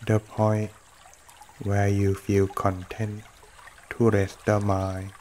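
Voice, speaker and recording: A man with a lowish voice guides a meditation at an extremely slow pace. His delivery is almost completely flat and gentle, in short phrases separated by long pauses. Water trickles softly behind him. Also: a little hiss or hum. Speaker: meditation teacher. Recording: running water throughout. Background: trickling stream water.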